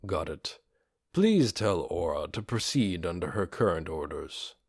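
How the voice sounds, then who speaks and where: A deep, hushed undead skeleton lord performed by a male audiobook reader. He speaks in a measured mutter, like a weary ruler keeping his doubts to himself. The recording is dry and close. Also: big pitch swings, husky, no room sound, full character voice. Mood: calm command. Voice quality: low, hushed. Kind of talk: audiobook character voice.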